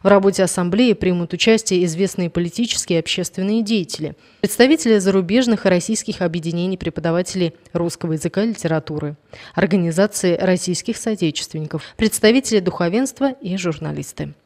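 A woman reads a scripted news report in a crisp announcer's manner. Her voice sits slightly low. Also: quick pace, darkish tone. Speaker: news narrator.

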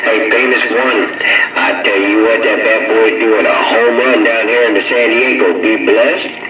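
A man's voice: fairly low pitch, steady tone, unhurried, over loud music. A man chatting casually over CB radio in folksy slang, repeating his catchphrases. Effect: voice heard over CB radio. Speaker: CB radio operator. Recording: muffled, echoey CB radio transmission.

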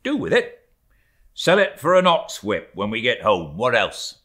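Casting: swaggering male ship's mate for a sea novel, bright forceful voice, wide swoops, boastful bluster performed by a male narrator. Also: mid-range voice, huge pitch swings, a little slow, voice pushed up, exaggerated voice. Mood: scornful. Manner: blustering, emphatic, rambling tall talk.

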